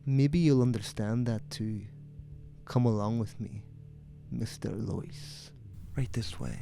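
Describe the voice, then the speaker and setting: A man playing a calm, sinister police commissioner in a horror audio drama, measured and ominous. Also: mid-range voice, gravelly. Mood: coolly sinister. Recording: music behind the voice.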